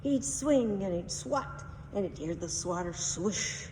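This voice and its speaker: A woman's voice: mid-range voice, expressive, slow pace, dark tone. A woman reading a children's storybook aloud with dramatic, emphatic delivery. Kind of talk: expressive children's storybook narration. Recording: distant mic, echoey hall, faint noise.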